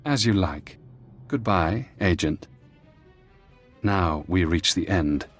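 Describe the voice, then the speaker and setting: A man playing a condescending, coolly menacing former spy in a video game, speaking in a measured, instructive way. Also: sits low, animated, faint music behind, heavily acted. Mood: resigned menace. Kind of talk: video game cutscene acting.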